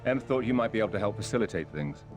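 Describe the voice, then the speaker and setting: Male actor as cool British spy, low-pitched, wry and businesslike, dramatic score loud underneath. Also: lively intonation, racing, over music, close to an everyday voice. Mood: dry, wry. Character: cool, clipped British secret agent, dryly wry. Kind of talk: cinematic spy game acting.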